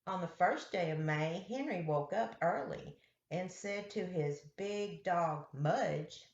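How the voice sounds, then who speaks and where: A woman reads a children's storybook aloud at a very unhurried pace. Her voice sits a little low, and she phrases things simply for young listeners. The sound is roomy and echoey. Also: lively intonation, darkish tone, highs cut off. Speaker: children's storyteller reading picture books aloud.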